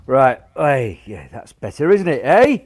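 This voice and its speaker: A man in a radio play acting a gruff, cheery old grandfather, blustery and reassuring. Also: medium pitch, big pitch swings, a little slow. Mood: cheerful bustle. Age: older. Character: gruff, cheery old grandfather, proud wartime shelter builder. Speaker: amateur radio play actor.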